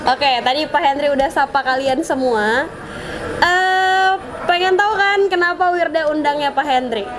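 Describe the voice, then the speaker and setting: A young woman hosts her vlog channel and interviews a guest, chatty and playful, laughing often and talking to her viewers. Her voice is high-pitched. Crowd chatter is clearly heard behind her. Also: moderate pace. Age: young adult.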